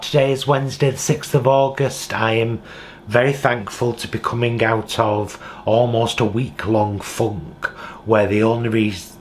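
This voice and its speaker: A man's voice: lowish voice, slight background noise. A British man talking in a heartfelt, reflective vlog monologue from his home kitchen. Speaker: vlogger.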